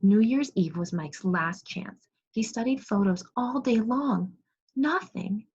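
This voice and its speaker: A woman teacher reading a storybook aloud to young children in an online lesson, expressive, pausing to prompt and praise her pupils. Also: fairly high pitch, husky. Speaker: second grade teacher. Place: remote video class. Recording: muffled, lo-fi online class audio.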